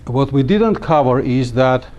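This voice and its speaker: A middle-aged man from Armenia teaching a class, talking haltingly with many fillers and restarts. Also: medium pitch, animated, darkish tone, lo-fi sound. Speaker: instructor. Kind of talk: classroom lecture. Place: small classroom.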